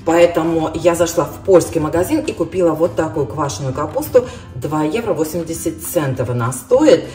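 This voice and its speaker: Middle-aged woman vlogger, chatty, low and dark voice, caught from across a roomy kitchen. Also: animated, normal speed, few pauses, recorded from a distance. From Russian-speaking, living in Ireland. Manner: chatty, addresses viewers as girls. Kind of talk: casual grocery haul chat. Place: home kitchen. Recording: roomy, from off-mic, soft music.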